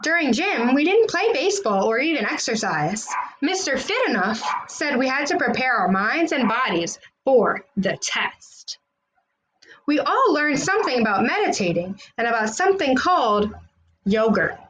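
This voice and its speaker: A woman's voice: sits high, sing-song, normal speed, fairly bright tone, a little hiss or hum, rough recording. A woman reading a children's storybook aloud, narrating expressively in the words of a school kid. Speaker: children's read-aloud host.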